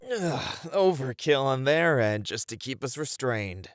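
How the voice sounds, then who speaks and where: Man playing a cocky ninja, swooping pitch, dry sound. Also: animated, measured pace, no room sound, close to an everyday voice. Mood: strained. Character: captured male master ninja, cocky, flirtatious. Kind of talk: scripted ASMR audio roleplay.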